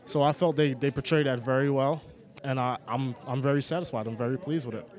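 A man's voice: medium pitch, steady tone, fairly quick. A young man giving an interview outdoors, his voice sitting mid-range. He speaks earnestly and a little nervously, circling back with restarts and fillers as he gathers his thoughts. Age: young adult. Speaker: former student leader, guest. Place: outdoors, city setting.

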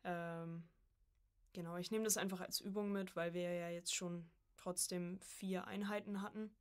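A woman's voice: on the low side, steady tone, measured pace, smooth. A young woman chatting casually as a guest on a podcast, a student of voice acting.

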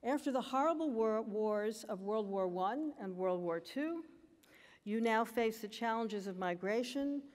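An older American woman giving a formal graduation speech at a lectern, with a measured, emphatic delivery. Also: on the high side, lively intonation, a little mellow, long reverb tail. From United States. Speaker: commencement speaker. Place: large auditorium stage at a lectern. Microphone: lectern microphone.

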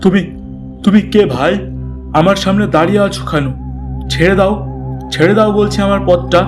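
A man's voice: high-pitched, steady tone, moderate pace, over music, lightly acted. A man voicing a callous, blustering village landlord in a cartoon story. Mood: nervous, frightened. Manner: haughty, blustering. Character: callous, bullying village landlord, cowardly when scared.

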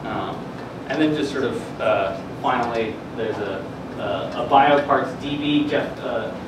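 Young male presenter, casual and halting science talk, picked up from afar in a boomy, echoing classroom. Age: young adult. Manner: hesitant, halting delivery with frequent filler words. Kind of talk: informal research news presentation. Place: classroom or seminar room, indoors. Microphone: distant camera microphone. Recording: echoey room, distant mic, air-conditioning rumble.

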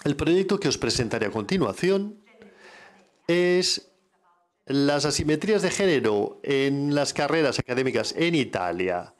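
A man giving a simultaneous interpretation of an academic conference lecture, measured and often correcting himself mid-phrase. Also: a little high, very animated. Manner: measured, with frequent self-corrections.

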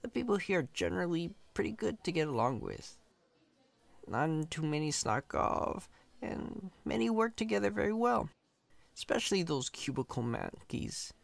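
A man's voice: sits high, very animated, normal speed, vocal fry, dry recording, heavily acted. A man playing a chatty, cocky, friendly company employee in an ASMR roleplay, casual, reassuring and playfully boastful. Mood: easygoing, friendly.

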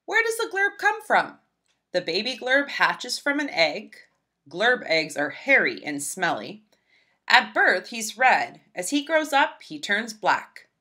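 A woman reading a children's picture book aloud in an expressive, emphatic storybook style. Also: fairly high pitch, slow. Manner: animated, emphatic, storybook delivery.

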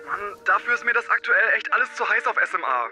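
A man's voice: high-pitched, big pitch swings, fast talker, sharp tone, soft background music, full character voice. A young man playing an irritated, dismissive teenage boy leaving a brusque, slangy voice message in a German audio drama.

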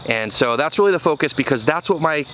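A man's voice: on the high side, animated, quick pace, a bit bright, a little hiss or hum. Thirties male farmer vlogger, casual to-camera talk, plenty of "you know", faint field ambience. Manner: earnest, conversational, many "you know" fillers. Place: outdoors on a small farm field. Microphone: camera mic at arm's length, then on a tripod.

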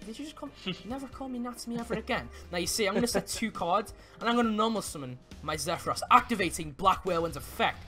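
A young man with an excitable, giggly way of talking and stumbling over words, playing a card game in a casual roleplay. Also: high-pitched, fast, hardly any pauses, soft background music. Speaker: player in a card duel roleplay. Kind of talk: casual in-character gameplay commentary.

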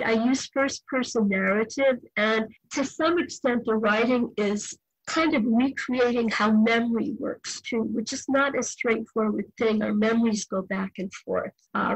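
An older woman novelist answering interview questions in a thoughtful, measured way. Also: fairly high pitch, creaky, husky, no room sound, poor audio. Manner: thoughtful, measured, occasional restarts. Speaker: novelist guest.

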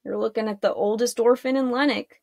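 A woman's voice: a little high, steady tone, brisk, gravelly, darkish tone, no echo, studio quality. A woman voicing a cheeky orphan boy in a storybook, earnest and defensive with a teasing bravado.

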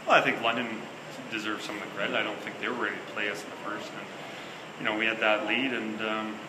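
A man in his thirties speaks in a fairly low voice, answering postgame questions as a hockey coach. He talks in a measured, hesitant way, pausing on fillers and restarting phrases. The small interview room echoes, and a steady air-conditioning hum sits clearly underneath. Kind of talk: postgame press conference. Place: small interview room before a sponsor backdrop.

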